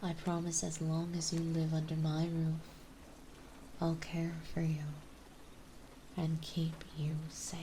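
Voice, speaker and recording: A woman playing a gentle yet possessive, dominant vampire mistress in an ASMR roleplay, speaking softly and soothingly, often in a breathy whisper. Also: deep voice, monotone, slight background noise. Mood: protective, possessive. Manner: soothing, coaxing, slow and deliberate.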